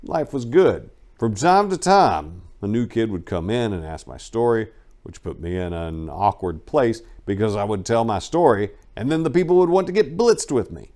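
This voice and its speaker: Low-voiced man reading a memoir dramatically. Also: very animated, moderate pace, darkish tone. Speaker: narrator.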